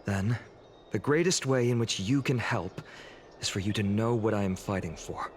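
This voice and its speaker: An actor playing a gentle, earnest warrior who speaks politely and formally in a video game story. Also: male voice, on the low side, normal speed, a little hiss or hum, natural voice. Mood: earnest, concerned. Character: gentle, earnest male warrior worried for his comrades.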